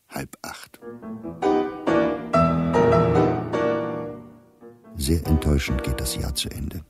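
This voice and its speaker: An actor playing a sober, weary male diarist in wartime, reading his entries in a measured, deliberate way for a radio play. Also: a bass voice. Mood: disappointed. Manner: measured, deliberate, clipped diary phrasing.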